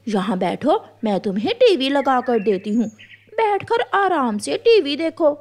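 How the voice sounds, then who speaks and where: A woman's voice: high-pitched, big pitch swings, a little slow, a little mellow, slight background noise. A woman voicing a sly, meddling aunty crow in a cartoon story, coaxing and crafty in manner.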